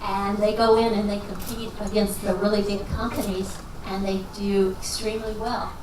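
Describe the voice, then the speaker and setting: A woman's voice: medium pitch, level tone, normal speed. A woman from Texas, a finance professor, answering audience questions at a lecture in a folksy, self-deprecating way. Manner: folksy and self-deprecating, jokes and laughs as she talks. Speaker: guest speaker, finance professor. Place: lecture hall. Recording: echoey hall recording from far off, with noise.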